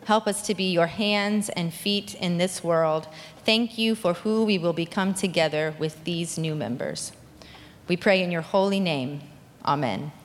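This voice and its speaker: A woman pastor speaking in a measured, deliberate way as she leads liturgy and prayer in a church. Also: lowish voice, slight background noise. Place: large church sanctuary. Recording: long hall reverb.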